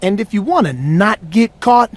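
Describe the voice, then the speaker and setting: A man playing a cocky, streetwise wrestler with a raspy voice in a scripted video game cutscene. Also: sits high, expressive, moderate pace. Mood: taunting, confident. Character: cocky, streetwise wrestler ally, loyal and combative. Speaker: wrestler character.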